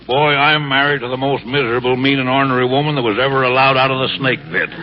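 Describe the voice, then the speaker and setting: An actor playing a grumpy, sly, miserable sidewalk Santa in an old radio comedy, griping in deadpan and bellowing weary greetings. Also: male voice, medium pitch, fast, natural voice. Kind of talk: vintage radio comedy acting. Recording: noisy, muffled old radio broadcast.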